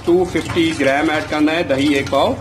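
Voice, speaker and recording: A man's voice: on the high side, fairly even intonation, moderate pace, over music. A man hosting a cooking lesson, explaining a recipe step by step and mixing in English kitchen words. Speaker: host of a cooking recipe video. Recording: clear background noise, cooking ambience.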